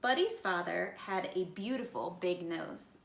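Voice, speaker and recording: A woman's voice: lowish voice, animated, unhurried, vocal fry, a little hiss or hum. A woman reading a children's story aloud expressively, as a storyteller to young listeners. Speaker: picture-book reader, educator. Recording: echoey room.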